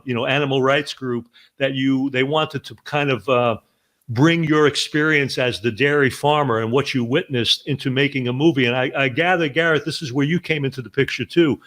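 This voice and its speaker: A man's voice: mid-range voice, steady tone, moderate pace, a bit bright. A man hosting an interview, asking long, earnest questions full of restarts. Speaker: podcast host.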